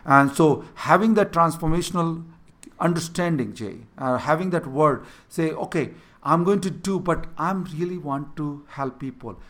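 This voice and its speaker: A man's voice: fairly high pitch, moderate pace, fairly bright tone. A man with an Indian accent, Hindi his first language, earnestly coaching a younger person on leadership and repeating his key points. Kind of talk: mentoring conversation.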